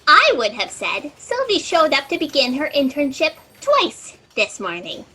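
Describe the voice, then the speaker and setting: A woman's voice: sits high, wildly swooping pitch, a little slow, sharp tone, heavily acted. A woman playing a scatterbrained, eager fact-checker in a comedic sci-fi audio drama, chirpy and rambling as she goes. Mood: apologetic, chipper. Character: scatterbrained, eager, chatty female fact-checker in a cosmic office.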